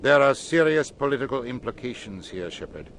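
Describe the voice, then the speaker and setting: An actor playing a curt, self-serving middle-aged ambassador in a sci-fi video game, formal and condescending. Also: male voice, medium pitch, animated, brisk, background noise, close to an everyday voice. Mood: stern, smug. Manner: formal, condescending political delivery. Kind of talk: dramatic video game acting.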